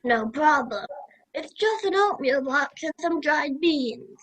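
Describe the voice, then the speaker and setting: Slow, high-voiced grandfather acted by a young woman. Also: expressive, a bit bright, full character voice, rough recording. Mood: patient, nostalgic. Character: gruff but playful old grandfather. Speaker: student radio play performer. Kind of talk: amateur radio play acting.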